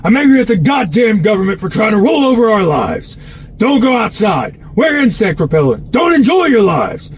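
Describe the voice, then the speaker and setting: An actor playing an angry, blunt conspiracy commentator delivering an emphatic political rant in an audio drama. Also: male voice, high voice, big pitch swings, normal speed, slight background noise. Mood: furious. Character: furious, ranting male conspiracy commentator.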